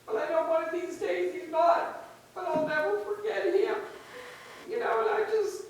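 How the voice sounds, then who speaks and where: A woman's voice: very high-pitched, normal speed, slight background noise. A middle-aged woman sharing rambling, heartfelt memories at a memorial service in a church. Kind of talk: informal memorial remarks. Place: church sanctuary. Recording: echoey room, mic far off.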